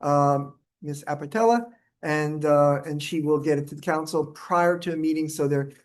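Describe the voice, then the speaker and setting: A woman running a local council meeting, calling for motions and debate in a brisk procedural way. She keeps things moving with short fillers between items. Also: deep voice, darkish tone.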